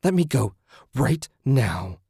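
A man's voice: mid-range voice, very animated, takes it slowly, gravelly, warm tone, slightly rough, dry recording, studio quality, voice pushed up, heavily acted. A man voicing a scared but defiant young woman captive in an audiobook, speaking with urgency. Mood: defiant fear. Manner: urgent, indignant, pleading. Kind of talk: dramatic audiobook character voice.

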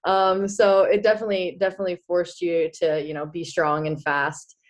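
A young woman with a low voice answers interview questions in a relaxed, chatty way. She laughs often and her talk is full of easygoing fillers. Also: normal speed. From Czech Republic. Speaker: guest, hockey player. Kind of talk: casual interview.

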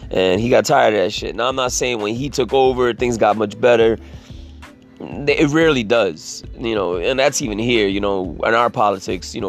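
A man with a raspy voice talking in a casual, rambling monologue, swearing freely and leaning on "you know" as he restarts his sentences. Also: medium pitch. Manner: profane, filler-heavy, restarts sentences.

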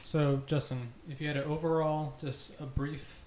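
A man's voice: on the high side, flat delivery, moderate pace. A man co-hosting a podcast, putting questions and comments to the others in casual chat. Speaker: podcast co-host asking questions. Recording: echoey room with faint noise.

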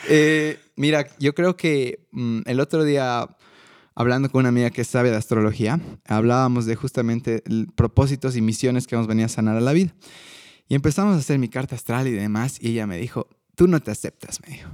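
A man's voice: medium pitch, brisk, smooth, dry recording. Chatty man, dry studio sound. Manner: animated, laughing, chatty. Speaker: podcast host and coach. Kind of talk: casual podcast chat.

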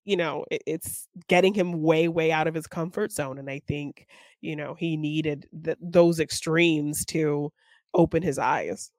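A woman's voice: sits low, fairly even intonation, unhurried, no room sound. Casual woman podcast co-host, close dry sound. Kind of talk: casual film discussion.

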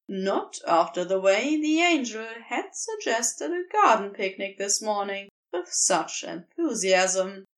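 A woman reading a story aloud as scripted narration, in a measured and expressive storytelling manner. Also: a little high. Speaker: podfic reader.

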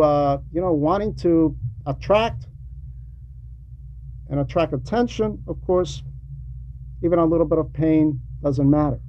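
A man with a soft, mellow, slightly high voice delivers a homily. He explains and admonishes haltingly, slipping into conversational asides. The recording is muffled, with faint noise in it. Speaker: preacher reading a translated homily.

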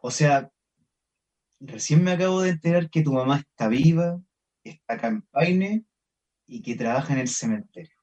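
A man's voice: on the high side, lively intonation, moderate pace, long pauses, a little mellow. A man acting a wary, somewhat insecure Chilean boyfriend in a naturalistic radio drama, talking in a colloquial, conversational way. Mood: hesitant, teasing. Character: wary, distrustful local boyfriend named Manuel, insecure in love.

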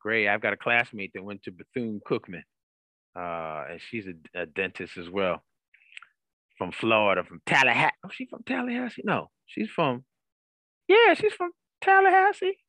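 A man's voice: lowish voice, wildly swooping pitch, normal speed, sharp tone, no room sound. A man hosting a career coaching podcast in an upbeat interviewing style, emphatic and given to repeating phrases.

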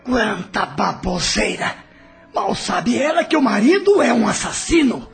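An actor playing an irritated, scornful eavesdropping spirit in a radio soap, exclaiming in a raspy, creaky voice. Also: male voice, sits high, very animated, unhurried, full character voice. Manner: exclaiming indignantly. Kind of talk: radio soap opera acting. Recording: music behind the voice. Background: music.

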